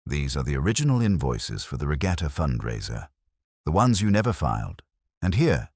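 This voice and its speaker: A man plays a composed woman in an audiobook story, smooth and exacting as she confronts wrongdoers. The voice stays low, with measured words and rising and falling emphasis in a dry recording. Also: very animated, a little mellow, no echo, exaggerated voice. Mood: precise, calm.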